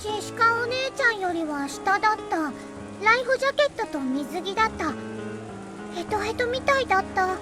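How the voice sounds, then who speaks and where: A woman's voice: very high voice, lively intonation, very fast, over music. A woman voicing a small, earnest little girl in a visual novel, with simple childlike speech.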